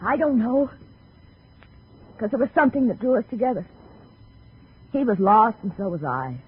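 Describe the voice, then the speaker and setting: A woman's voice: a little high, big pitch swings, moderate pace, breathy edge, exaggerated voice. An American woman playing a world-weary, self-blaming dame in a radio drama, plain-spoken and resigned. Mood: weary, bitter. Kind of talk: 1950s radio drama acting. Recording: tinny, narrow-band 1950 radio broadcast.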